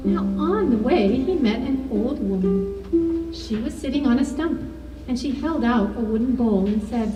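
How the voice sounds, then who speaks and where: A woman telling a folktale to children at a live puppet show, with measured, dramatic phrasing. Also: fairly high pitch, animated. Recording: echoey, distant mic, music over voice. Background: ukulele or guitar music, clearly heard.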